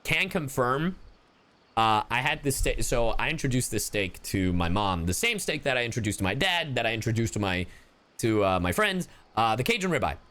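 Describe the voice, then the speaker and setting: A man's voice: mid-range voice, normal speed. A young American man casually commentating as a game streamer, slangy and sweary. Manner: animated, slangy storytelling with profanity.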